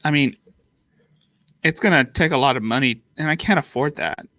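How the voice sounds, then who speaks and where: A man with a slightly nasal voice co-hosting a casual stream chat, talking in halting bursts with restarts. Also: mid-range voice, bright, forceful tone, no echo. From Arizona, US. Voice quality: a bit nasal. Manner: halting, restarts mid-thought. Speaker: stream co-host.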